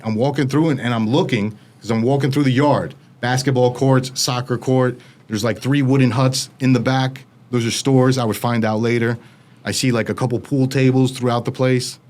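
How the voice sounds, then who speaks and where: American guy, youngish, telling a wild story fast, does little voices for the quotes. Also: medium pitch, darkish tone. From United States. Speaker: interview guest. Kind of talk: casual storytelling.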